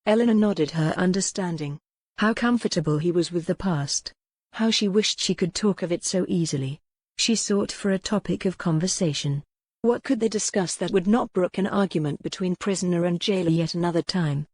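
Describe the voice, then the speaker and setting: A woman's voice: fairly low pitch, normal speed, darkish tone, no echo. A woman narrating a scripted historical romance audiobook.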